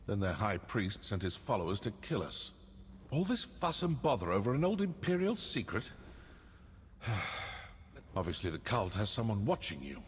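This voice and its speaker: Video game assassin, low-pitched, sardonic, suspicious, expressive intonation, fantasy setting. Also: male voice, slight background noise. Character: male assassin of a dark brotherhood, wry and suspicious. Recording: cavernous reverb, faint game ambience.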